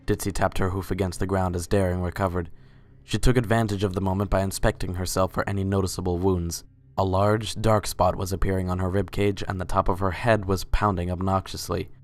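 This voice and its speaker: A man's voice: low voice, level tone, brisk. A man reading scripted story narration as the narrator of a dramatic reading.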